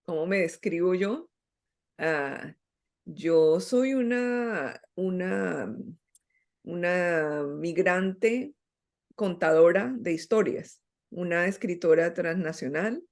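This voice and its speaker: A middle-aged woman from Colombia talks as a guest on a talk show. She speaks thoughtfully, pausing often and leaning on fillers as she gathers her thoughts. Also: lowish voice, a little mellow. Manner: reflective, hesitant with many fillers. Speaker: guest, writer. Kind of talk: interview conversation.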